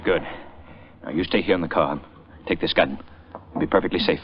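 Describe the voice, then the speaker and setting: An actor playing a mysterious, commanding crime fighter with urgent, dramatic delivery in old radio drama style. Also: male voice, low voice, fairly quick, close to an everyday voice. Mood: grave, determined. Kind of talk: 1930s-40s radio drama acting. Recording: muffled vintage radio recording.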